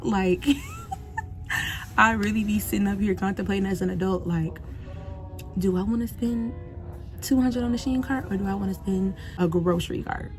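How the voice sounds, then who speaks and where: A woman's voice: mid-range voice, steady tone, normal speed, long pauses, faint music behind. A woman, talking casually and directly to her viewers in a reflective vlog. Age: 20s. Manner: chatty, direct address to viewers. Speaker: vlogger.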